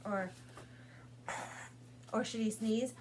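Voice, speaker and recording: A woman's voice: on the high side, fairly even intonation, extremely slow, heavy background noise. A woman leading storytime as a children's librarian, reading and singing along in a sing-song, coaxing way as if to small children, with questions for them.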